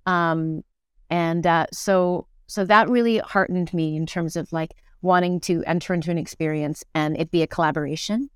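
A middle-aged woman with a smooth, clear voice that sits a little low, recorded close and dry. She talks reflectively and casually, like an interview guest thinking things through as she goes. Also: steady tone, normal speed, no echo. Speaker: guest, actor, director and acting teacher.

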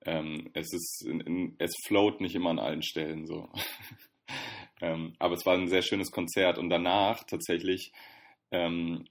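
A young man co-hosting a podcast, chatting casually with a wry, halting delivery full of fillers. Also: sits low, little pitch movement. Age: young adult. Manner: hesitant, many fillers and restarts, wry. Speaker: podcast co-host. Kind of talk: casual chat.

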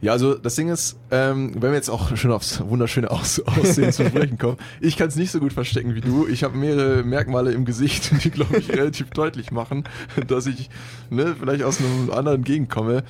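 A man's voice: medium pitch, brisk, darkish tone. A young man from Germany hosting a casual podcast chat, joking and laughing often, with lots of fillers. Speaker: podcast host.

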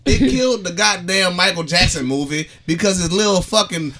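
A man's voice: high-pitched, normal speed, sharp tone. A man chatting casually as a podcast co-host, blunt and full of profanity. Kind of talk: casual group banter.

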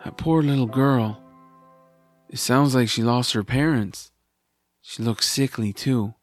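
A man voicing an eager, earnest young boy hero with an excitable manner in a family fantasy audio drama. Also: a little high, no echo, studio quality. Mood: sympathetic, observing.

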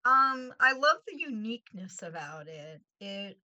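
Female co-host, New York accent, bright forward voice, chatty and informal, close dry sound. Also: medium pitch, very animated, measured pace, no room sound, muffled recording. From New York, United States. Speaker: show co-host. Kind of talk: casual chat.

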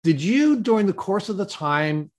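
A man from New York co-hosting a podcast, introducing the guest and asking measured, summarizing questions. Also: sits high, very animated. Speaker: podcast co-host. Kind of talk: interview hosting.

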